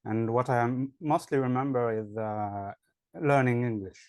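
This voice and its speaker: A man's voice: mid-range voice, moderate pace, darkish tone, no room sound, studio quality. A man with a French accent, an online English teacher, answering interview questions in a hesitant way with many fillers. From France.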